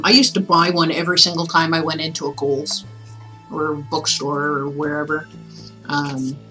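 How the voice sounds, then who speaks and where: A woman's voice: deep voice, poor audio. Chatty woman streamer over background music. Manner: chatty, expressive, breaks off into asides. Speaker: livestream host reading aloud to viewers. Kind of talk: casual livestream chat with storybook reading aloud. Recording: music playing behind the voice.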